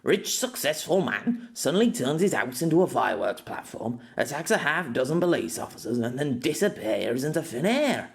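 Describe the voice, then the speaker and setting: Audiobook detective chief, read by a man: older, gruff, exasperated, lively intonation. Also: on the high side, normal speed, few pauses. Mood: baffled.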